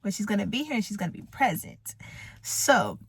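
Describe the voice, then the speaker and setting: A woman's voice: mid-range voice, huge pitch swings, brisk, husky, dry recording. A woman of twenty talking casually to her camera, chatty and rambling, laughing easily. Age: 20s. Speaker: vlogger. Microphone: camera mic.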